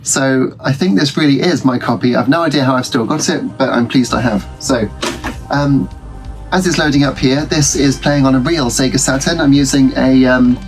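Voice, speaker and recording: A man's voice: medium pitch, normal speed. A man, stuffy from a cold, chatting casually through a game as host of his retro gaming channel, rambling with frequent ums and restarts. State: congested from a cold. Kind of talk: casual let's-play commentary. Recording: game music playing behind.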